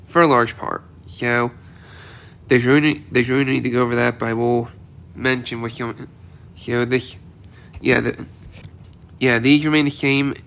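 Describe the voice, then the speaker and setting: A man's voice: medium pitch, steady tone, normal speed, vocal fry, pauses often, a bit bright. A man with a cold and a stuffy, congested voice, hosting a casual weather outlook update and rambling with frequent restarts and repetition. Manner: rambling, repeats himself with many restarts. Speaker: weather video host.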